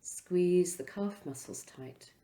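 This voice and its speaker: A woman leading a guided relaxation as a yoga instructor, speaking in an unhurried, soothing way with pauses between phrases. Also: lowish voice, mellow, reverberant.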